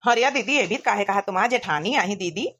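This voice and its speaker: A woman's voice: mid-range voice, animated, brisk, a bit bright. A woman voicing a generous, chatty younger brother visiting his sister in a village cartoon comedy, with an Awadhi accent. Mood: cheerful, chatty.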